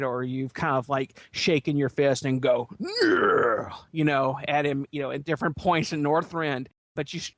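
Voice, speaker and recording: A man's voice: mid-range voice, dry recording. A man chatting in a colloquial, rambling way as a panelist on a gaming podcast. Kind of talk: casual gaming panel discussion.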